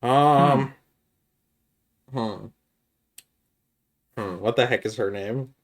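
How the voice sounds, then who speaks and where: A man's voice: mid-range voice, drawn-out words. A man co-hosting a podcast in casual chat, talking in an informal, slangy way. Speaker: podcast host.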